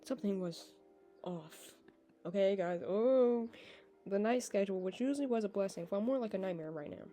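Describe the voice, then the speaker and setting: Mellow-voiced young woman reading a game. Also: mid-range voice, moderate pace, soft background music. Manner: chatty, laughing tangents. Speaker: gamer reading a visual novel aloud. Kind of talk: game playthrough with casual asides.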